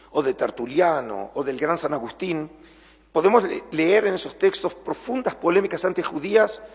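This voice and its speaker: Middle-aged Argentine lecturer, husky tone, earnest and measured, stretches key words. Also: male voice, fairly high pitch. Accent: Argentine Spanish. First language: Spanish. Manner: measured, earnest, slows down for emphasis. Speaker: lecturer, museum curator. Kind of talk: public lecture. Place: large former synagogue hall. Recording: long hall reverb.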